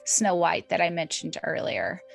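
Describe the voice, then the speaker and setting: A woman's voice: lowish voice, very animated, moderate pace, creaky, faint music behind. A woman co-hosting a podcast, telling stories in a casual, informal and chatty way with emphatic repetition. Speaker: podcast co-host. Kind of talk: casual storytelling chat.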